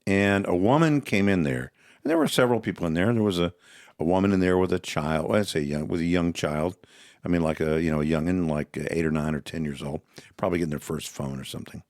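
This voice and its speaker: A man tells stories in a deep, low voice, folksy and unhurried. He keeps up a steady stream of murmured agreement while his co-host talks. The sound is dry and close to the mic. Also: no echo. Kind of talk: casual podcast chat.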